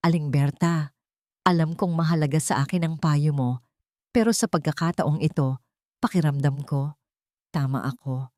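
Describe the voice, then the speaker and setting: A woman's voice: low voice, normal speed, pauses often, darkish tone, dry recording, studio quality, lightly acted. A woman playing a tired, earnest and pleading widowed father in a narrated romance story. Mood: insistent. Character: weary, earnest widowed father, wealthy businessman.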